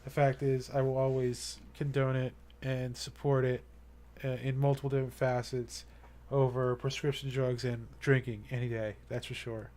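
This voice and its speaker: A man's voice: mid-range voice, little pitch movement, moderate pace, darkish tone. A young man chatting casually to his live-stream viewers, rambling and earnest, with many fillers and restarts. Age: young adult.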